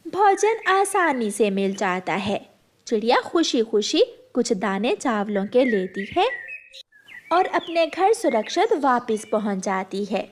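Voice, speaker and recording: High-voiced animated woman story narrator. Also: slow. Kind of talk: scripted children's story narration.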